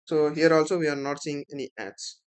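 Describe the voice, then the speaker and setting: Higher-voiced Indian man teaching. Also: steady tone, a little fast, clean tone. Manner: explanatory, checks in with "right?" and "okay". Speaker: instructor. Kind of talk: step-by-step screen tutorial.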